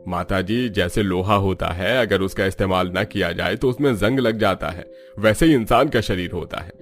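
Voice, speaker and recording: A grave, advising older man in a Hindi cartoon family story, voiced by a man. His voice is low and he explains things in a measured way, with faint music behind. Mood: earnest, advising.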